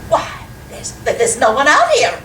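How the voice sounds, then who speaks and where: A woman's voice: sits high, huge pitch swings, a little slow, exaggerated voice. A woman playing a brusque, domineering older mother-in-law in a live radio comedy, scolding and no-nonsense. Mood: indignant. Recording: roomy, distant live stage with noise.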